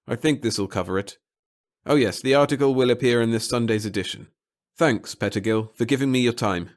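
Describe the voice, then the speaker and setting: Male narrator as cynical newsman, bored, curt, sardonic, mid-pitched, audiobook character. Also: fairly quick, lightly acted. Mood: politely bored. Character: tall cynical, bored male newspaper reporter.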